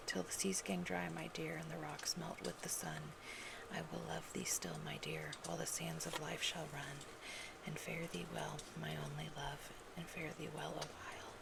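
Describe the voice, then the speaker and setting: A woman gives a slow, gentle ASMR poetry reading in a breathy, intimate whisper. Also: low-pitched. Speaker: ASMR roleplay host reading love poems. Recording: loud ambient noise, crackling fireplace ASMR sounds. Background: fireplace ambience, scratching and tapping.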